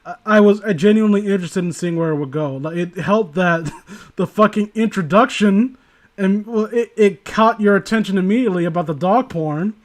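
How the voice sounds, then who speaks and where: A man's voice: high-pitched, moderate pace. A man casually reading aloud and commenting, sardonic and prone to profane asides. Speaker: host reading and critiquing internet horror stories.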